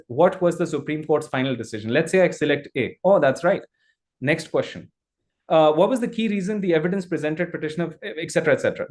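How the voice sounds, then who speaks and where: A male trainer whose first language is Urdu, giving an energetic live online demo and often repeating and restarting his phrases. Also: fairly high pitch.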